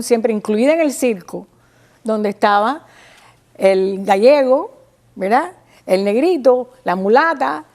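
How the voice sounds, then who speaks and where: A woman from Cuba with a Cuban accent gives an informal, didactic talk, pausing and restarting and often checking with her listener. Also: fairly high pitch, darkish tone. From small town in Cuba. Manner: didactic, halting, checks with listener using tag questions. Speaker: guest speaker on Cuban theatre history.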